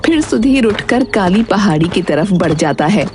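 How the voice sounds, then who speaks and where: A woman's voice: mid-range voice, expressive, moderate pace. A woman reading scripted narration for a children's story. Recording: prominent music behind the voice.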